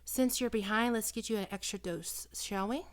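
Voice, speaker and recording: A woman acting in an ASMR roleplay as a doting, possessive vampire posing as a small-town doctor, coaxing and teasing, often dropping into breathy whispers. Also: mid-range voice, moderate pace, natural voice. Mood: concerned, chiding. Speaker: doctor character in audio roleplay.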